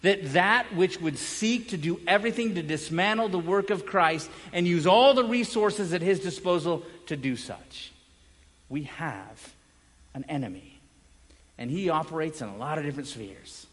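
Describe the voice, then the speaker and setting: A man preaching an evangelical sermon as a pastor, speaking in emphatic phrases broken by dramatic pauses. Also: fairly high pitch, a bit bright. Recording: echoey hall with long reverb.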